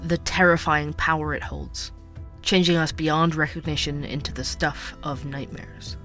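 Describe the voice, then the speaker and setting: A man's voice: a little high, steady tone, measured pace, bright, forceful tone, faint music behind. An actor playing a curious, earnest young man narrating his audio drama podcast. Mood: brooding, wondering. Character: curious, earnest young male podcaster and coffee shop worker.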